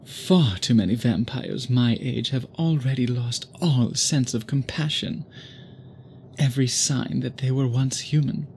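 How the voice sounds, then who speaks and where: A man performs as an immortal male vampire suitor, obsessed and weighed down by guilt. His medium-pitched voice moves very slowly in formal, halting phrases, with a brooding intimacy suited to an ASMR drama. Noticeable background ambience sits behind him. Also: darkish tone, slight background noise.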